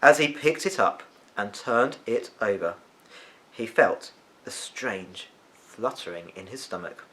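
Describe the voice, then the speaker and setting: A man reading a children's story aloud as an expressive narrator. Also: lowish voice, slow pace, low-quality recording.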